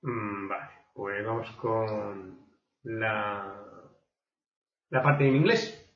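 A man's voice: lowish voice, lo-fi sound. A man speaking, distant and echoey. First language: Spanish. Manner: halting speech full of fillers, recites slowly and with emphasis. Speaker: guest poet. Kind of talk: radio chat and poetry recital.

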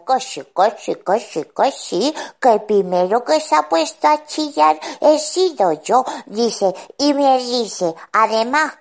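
A woman's voice: mid-range voice, gravelly, full character voice. A woman voicing a cheerful, talkative little boy with a Spanish accent, rambling through his story and repeating phrases over and over. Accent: Spain. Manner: rambling, retelling dialogue with lively repeats. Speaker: regular children's figure on a radio show. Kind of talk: children's radio storytelling chat.

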